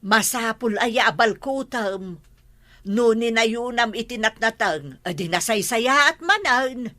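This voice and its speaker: Lively middle-aged Ilocano mother. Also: fairly high pitch, slow pace. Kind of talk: comedy drama acting.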